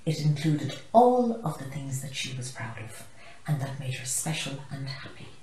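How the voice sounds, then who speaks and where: A woman's voice: a bass voice, very animated, normal speed, dark tone. Older Irish woman reading, echoey room. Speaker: storyteller reading a children's book. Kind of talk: expressive picture-book read-aloud. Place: small living room, indoors. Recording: roomy, echoey living room.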